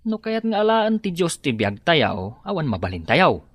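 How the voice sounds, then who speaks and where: A man's voice: a little high, huge pitch swings, normal speed, no echo. A man playing a practical, devout, respectful employee in his twenties in an Ilocano radio drama, with an Ilocano accent. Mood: earnest. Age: 20s.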